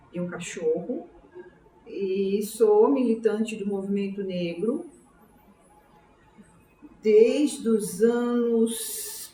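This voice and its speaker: A woman in her sixties with a São Paulo Brazilian accent, recalling her life in an interview in a halting, reflective way, often repeating words and checking for agreement. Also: mid-range voice, mellow. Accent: São Paulo Brazilian Portuguese. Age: 60s. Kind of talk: oral history interview, autobiographical recollection. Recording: roomy, distant mic, faint background noise.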